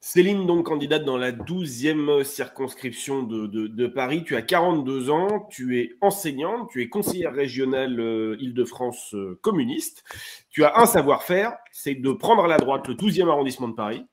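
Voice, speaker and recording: A man's voice: mid-range voice, lively intonation, moderate pace, slight background noise. A man hosting a casual political chat, joking and tripping over his own words. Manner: joking, stumbles and repeats words. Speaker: host. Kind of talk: casual chat on a live stream.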